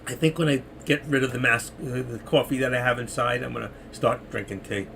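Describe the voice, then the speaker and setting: A man's voice: medium pitch, fairly even intonation, a little fast, vocal fry, sharp tone, slight background noise. A middle-aged man moderating a trading live stream, rambling casually to viewers while losing his voice. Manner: rambling, chatty, talking to chat viewers.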